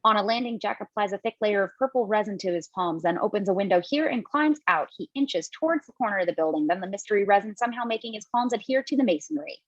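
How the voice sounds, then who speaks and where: A woman's voice: mid-range voice, animated, quick pace, few pauses, dry recording. A woman narrating stage directions for an online table read, reading plainly and dropping the odd casual aside. Her mic sounds dull and dry.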